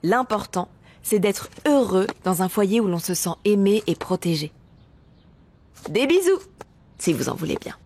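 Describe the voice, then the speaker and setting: A woman's voice: mid-range voice, big pitch swings, a little slow, long pauses, a little mellow. A woman voicing a friendly, patient female dinosaur who explains things cheerily in a children's educational cartoon. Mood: cheery.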